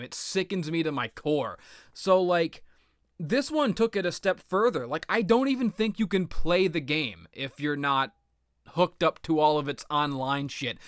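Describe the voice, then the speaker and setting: A man's voice: on the high side, fairly bright tone, dry recording, studio quality. A man giving a casual, comedic rant as a video game commentator, exasperated and profane, with rambling, stammered repetitions and plenty of exaggeration.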